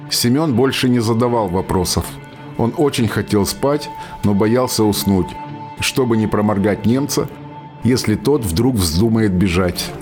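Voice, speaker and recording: A man with a fairly low voice narrates a radio drama. His delivery is scripted storytelling, and music plays behind him. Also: measured pace, darkish tone.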